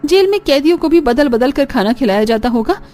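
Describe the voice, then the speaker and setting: A woman plays a fed-up young daughter-in-law in a cartoon family story. The voice is high and complaining, with a whiny, exasperated delivery over background music. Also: expressive, a little fast, darkish tone. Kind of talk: Hindi cartoon story acting.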